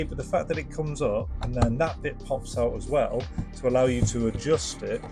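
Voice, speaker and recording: A man giving a casual product demo and review, explaining step by step in a hesitant, unscripted way. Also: medium pitch. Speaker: presenter of a vintage radio restoration channel. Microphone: microphone set up for the demo.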